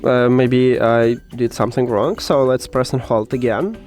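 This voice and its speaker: A man who speaks English as a second language, presenting a step-by-step tech tutorial with hesitant, halting phrasing. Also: medium pitch, a little mellow, soft background music. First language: not English.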